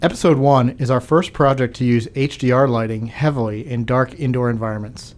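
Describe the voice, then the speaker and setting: Male developer, average pitch, reading prepared technical commentary. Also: steady tone, a little fast. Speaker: game developer.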